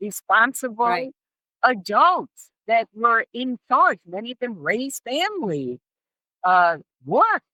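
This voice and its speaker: A woman's voice: on the high side, very animated, takes it slowly, bright, forceful tone, no echo, rough recording. A middle-aged woman speaking as an interview guest and care specialist, explaining and advising emphatically and repeating phrases for stress.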